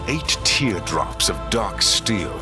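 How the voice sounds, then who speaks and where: A man with a very deep voice narrates a science fiction audio drama. His scripted delivery is theatrical and animated, building suspense with pauses over clearly audible music.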